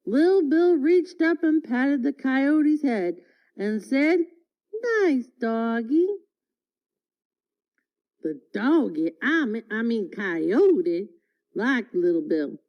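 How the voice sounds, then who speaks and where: High, animated woman reading a tale aloud. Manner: expressive, deliberate storytelling with folksy phrasing. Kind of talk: animated read-aloud of a folksy tall tale. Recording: dull, lo-fi audio.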